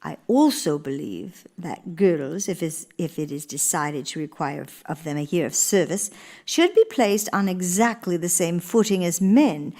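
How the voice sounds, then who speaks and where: A woman's voice: fairly low pitch, lively intonation, a little slow, darkish tone. An actress playing an earnest, gracious elder First Lady in a radio play, speaking formally and with theatrical emphasis. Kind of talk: radio theater monologue and dialogue.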